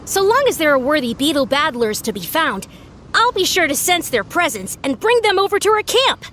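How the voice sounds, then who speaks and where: High-voiced, grandiose young warrior. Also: female voice, very animated, moderate pace, a bit bright, a little hiss or hum. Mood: earnest, grand. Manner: formal, pompous, theatrical. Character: earnest, grandiose young warrior devoted to beetle battling. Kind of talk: animated video game voice acting.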